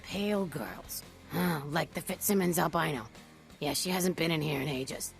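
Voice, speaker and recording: Game role: worn-out, kindly waitress, low gravelly voice, dry sarcasm, faint music. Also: female voice. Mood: sarcastic. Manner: dry, sardonic, unhurried. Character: jaded, kindly diner waitress. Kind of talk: video game voice acting.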